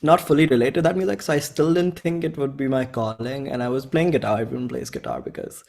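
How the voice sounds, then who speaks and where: A young man with an Indian accent and a medium-pitched voice chats in an interview. He rambles informally, sprinkles in slang and often voices his own thoughts as little quotes. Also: animated, fast, gravelly, a little mellow. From Bhopal, India. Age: 20s. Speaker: indie musician and songwriter being interviewed. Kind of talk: casual interview chat.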